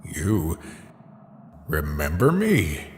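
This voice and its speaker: A man's voice: a bass voice, huge pitch swings, extremely slow, slight background noise, exaggerated voice. A man acting a guilt-ridden, evasive skeleton who speaks hesitantly and defensively in a dramatic fan comic dub. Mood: wary, evasive. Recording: long reverb under background music.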